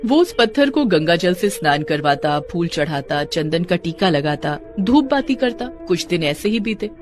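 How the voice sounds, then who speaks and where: A woman narrating a cartoon story in an expressive storytelling manner. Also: lowish voice, normal speed. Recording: background music under voice.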